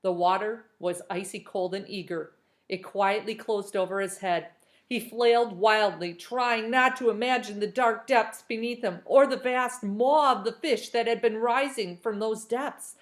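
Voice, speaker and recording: A woman reads a children's novel aloud in an expressive storytelling style, shaping the phrases with the drama of the tale. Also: a little high, a little slow. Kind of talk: expressive storybook narration with brief asides to listeners.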